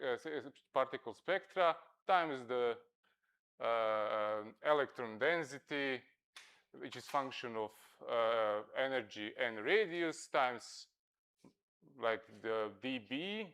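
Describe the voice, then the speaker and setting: A man whose first language is Serbo-Croatian, giving a scientific conference talk, halting with many fillers and self-corrections. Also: mid-range voice, a bit bright, smooth. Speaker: conference speaker. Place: lecture hall at a conference.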